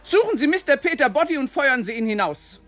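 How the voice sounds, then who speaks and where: A snooty grand lady in a radio play, bossy, faint music under it, lively pitch. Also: a little fast. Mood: haughty. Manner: imperious, haughty, cutting. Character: haughty aristocratic lady, sharp-tongued mother.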